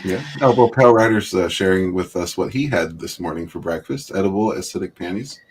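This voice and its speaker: A man's voice: low-pitched, brisk, a little mellow, slight background noise. A man hosting a casual livestream chat, greeting viewers by name and laughing often. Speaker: livestream host.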